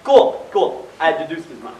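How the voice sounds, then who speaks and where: An awkward young man played by a male stage actor feigns nonchalance in an amateur play. His voice is slightly high and very animated, far from the mic in an echoey hall. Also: moderate pace. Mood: sheepish. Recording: echoey hall, distant mic, faint noise.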